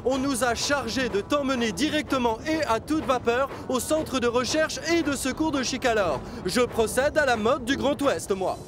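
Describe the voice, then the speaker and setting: A man's voice: extremely high pitch, moderate pace, few pauses. A man voicing a proud, stubborn steam engine with a formal, emphatic manner in a children's cartoon dub. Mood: proud, brisk.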